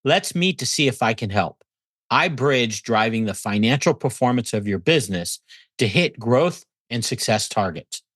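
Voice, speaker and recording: A man's voice: lowish voice, fairly bright tone, no room sound, clean studio sound. A man hosting a podcast, introducing and interviewing in a conversational presenter style. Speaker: podcast host.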